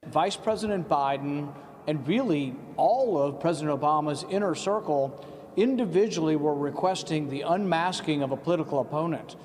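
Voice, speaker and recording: A man's voice: a little high, moderate pace, darkish tone, long reverb tail, a little hiss or hum. An American man giving emphatic, accusatory political commentary.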